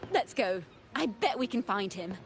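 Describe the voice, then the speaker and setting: An actress voicing a poised, knowing young woman adventurer who recounts events in measured, encouraging video game dialogue. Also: mid-range voice, expressive, noisy background, lightly acted. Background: game music.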